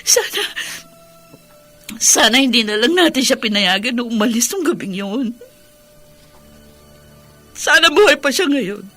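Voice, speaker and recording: An actress playing a grieving middle-aged widowed mother in a radio drama, crying through an anguished, drawn-out delivery. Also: fairly high pitch, animated, a bit bright. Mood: anguished guilt. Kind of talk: melodramatic Tagalog radio drama acting. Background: music.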